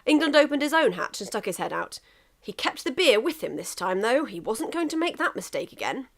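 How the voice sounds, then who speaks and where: Animated woman reading a comic story. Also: on the high side, a little fast. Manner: expressive, emphatic. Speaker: fan fiction reader.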